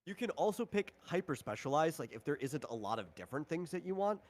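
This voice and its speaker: A man's voice: a little high, sing-song, fast, darkish tone. A man casually explaining tabletop game rules in an informal, conversational way as he runs a session. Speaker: game designer running a tabletop RPG session.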